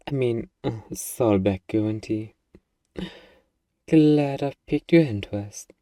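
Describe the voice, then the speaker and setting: An eager, harmless little demon, played by a man speaks in a very dark, relaxed voice, close and dry. The delivery is slow and coaxing, full of hesitant hums and playful politeness, in the style of a comedic ASMR roleplay. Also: lowish voice, no echo. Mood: eager, stalling. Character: eager, friendly, bumbling little demon named Amber.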